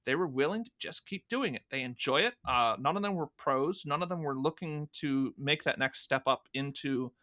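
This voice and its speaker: Bright-voiced man explaining as interview guest. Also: fairly high pitch, normal speed, hardly any pauses. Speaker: guest, fan audio drama producer. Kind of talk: conversational interview answers.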